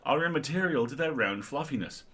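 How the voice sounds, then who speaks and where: A man's voice: a little high, animated, fairly quick, background noise. A middle-aged man co-hosting a livestream, reading a humorous article aloud with wry, playful and sweary asides.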